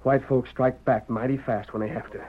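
A man's voice: medium pitch, fairly even intonation, normal speed, close to an everyday voice. An American actor playing an exhausted, dutiful frontier husband and father with a folksy Western drawl, in old-time radio style. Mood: grim, shaken. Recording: muffled narrowband vintage radio recording.

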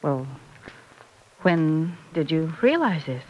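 A middle-aged mother in a vintage radio serial. She is concerned and affectionate, coaxing gently in a slightly low voice that rises and falls expressively. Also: sing-song, slow pace, full character voice, rough recording. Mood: gently questioning. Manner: coaxing, questioning, tender endearments. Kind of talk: mid-century radio soap acting.

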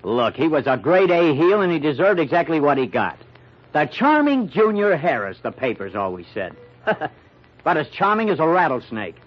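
An actor playing a brash, wisecracking newspaper reporter in an old-time radio mystery, with blunt, slangy, sardonic delivery. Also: male voice, a little high, big pitch swings, moderate pace, lo-fi sound. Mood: wry, confident.

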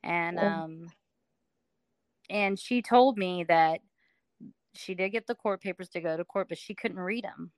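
A woman's voice: lowish voice, a little slow, dry recording. A middle-aged woman from Alabama, telling her story as a guest in a casual interview, chatty with many fillers.

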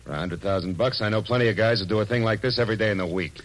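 An American actor playing a tough, sardonic private detective in an old radio noir drama, with curt, slangy delivery. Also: male voice, on the low side, rapid-fire, lightly acted. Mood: brisk, urgent. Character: hard-boiled, bullying male private detective, sardonic and tough. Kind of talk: 1940s-1950s radio noir acting and first-person narration.

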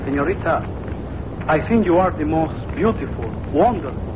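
An actor playing an eager, flattering male Latin crooner with a courtly, effusive manner in a 1940s radio comedy. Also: on the high side, normal speed, close to an everyday voice. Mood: smitten, courtly. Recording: muffled, noisy vintage radio recording.

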